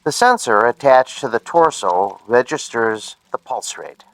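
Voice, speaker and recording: Lively-pitched, precise scientist. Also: male voice, a little slow. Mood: methodical, polite. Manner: formal, precise, instructing. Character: polite, methodical male scientist examiner. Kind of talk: radio drama acting.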